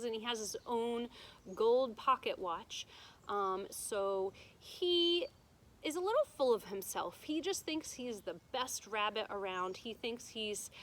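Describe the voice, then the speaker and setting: A woman's voice: medium pitch, fairly bright tone. A woman teacher reading a story aloud to students, explaining it simply and checking in with them as she goes.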